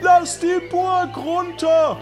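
A man's voice: very high voice, flat delivery, slow, loud music behind the voice. A man voicing a panicked castle guard who raises the alarm in a frantic, repetitive way, in an improvised adventure audio play.

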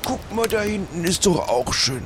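A man's voice: high-pitched, very animated, normal speed, noisy background. A man playing a small, proud, grumbling older pirate in an improvised audio adventure, opinionated and reproachful. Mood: grumbling, insistent.

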